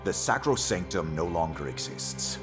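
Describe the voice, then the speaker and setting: An actor playing a stern, dignified older ruler in a fantasy game, speaking in a terse, regal, authoritative way. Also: male voice, low-pitched, expressive, normal speed. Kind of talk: cinematic fantasy game acting. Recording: orchestral music behind.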